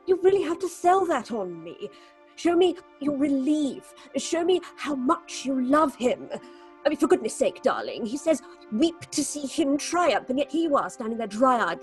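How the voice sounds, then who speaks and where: A grand, exacting female theatre director in an audio drama. Her voice is high, and she talks down to her cast with theatrical polish. Soft music sits behind her. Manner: condescending, theatrical, calls people darling and dear. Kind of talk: dramatic audio fiction acting.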